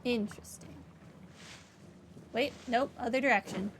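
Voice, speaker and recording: A woman voicing a calm, observant young traveler in a game, with a casual, thoughtful way of talking. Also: a little high, unhurried, slight background noise. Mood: puzzled, wry.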